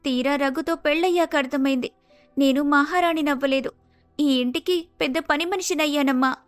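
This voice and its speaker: A woman's voice: sits high, moderate pace, dry recording, faint music behind, lightly acted. A woman voicing a suffering, regretful young married daughter in a melodramatic, plaintive story style.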